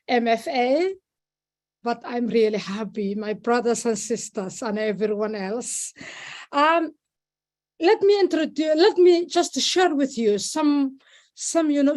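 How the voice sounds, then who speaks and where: A woman from Somalia with a Somali accent speaks at an online meeting over a video call, halting and repeating words, with many fillers. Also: on the high side, darkish tone. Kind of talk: informal speech at an online meeting.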